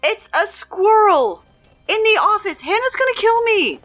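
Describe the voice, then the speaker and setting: A woman's voice: extremely high pitch, sing-song, a little slow, fairly bright tone, highs cut off, faint music behind, heavily acted. A woman playing a sarcastic teen girl announcer. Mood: panicked. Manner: sarcastic, flustered, prone to outbursts. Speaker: school morning announcer. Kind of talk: comedic audio drama acting.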